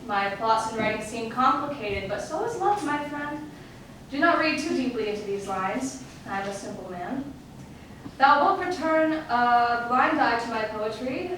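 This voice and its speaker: A woman's voice: fairly high pitch, lively intonation, moderate pace, fairly bright tone. A woman playing a wistful, lovelorn male Elizabethan playwright in a formal, declamatory theatrical monologue in archaic English. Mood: wistful, confiding. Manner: declamatory, formal, poetic delivery. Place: stage, live performance. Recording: echoey noisy stage, recorded from far off.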